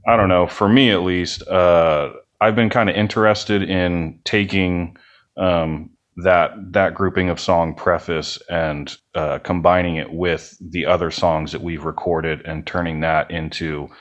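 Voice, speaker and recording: A man's voice: sits low, steady tone, measured pace, lo-fi sound. A man chatting casually as an interview guest, rambling and hedging as he talks. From United States. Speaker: band member, podcast guest.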